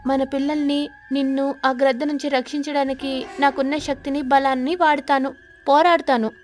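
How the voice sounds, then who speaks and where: Woman voicing a nervous, high-pitched cartoon crow. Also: level tone, normal speed, slight background noise, lightly acted. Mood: earnest, defiant. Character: frightened, evasive crow husband in a cartoon tale. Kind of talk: animated children's story character voice.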